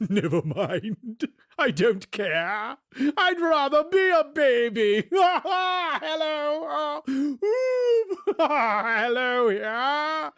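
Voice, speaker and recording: Man doing a wild old miser, shrill and swooping, laughs and cries out, for a storybook reading. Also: no room sound, studio quality. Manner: pleading then laughing, shouting, breathless giddiness. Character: old male miser turned giddy and repentant.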